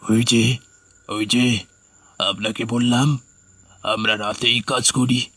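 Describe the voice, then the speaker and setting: A man voices a gaunt, skeletal villager from a ghostly horror cartoon. He speaks slowly and ominously in a husky, mid-pitched voice, slyly coaxing the listener. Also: level tone, a bit bright, no echo. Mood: ominous, evasive. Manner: slow, ominous, drawn-out delivery.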